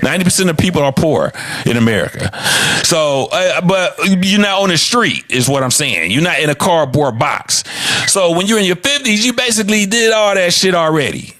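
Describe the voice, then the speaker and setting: A man's voice: a little high, lively intonation, normal speed, fairly bright tone, no room sound. A man in his fifties, talking in a blunt, profane, frank way as a co-host of a casual podcast chat. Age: 50s.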